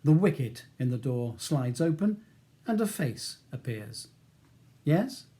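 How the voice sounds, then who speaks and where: A man's voice: medium pitch, sing-song, unhurried, dark tone. A man with a British accent reading scripted audiobook narration as the author of the novel.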